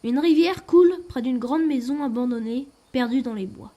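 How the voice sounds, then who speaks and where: A woman's voice: high-pitched, normal speed, mellow. A woman reading a scripted description. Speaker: narrator describing a photograph.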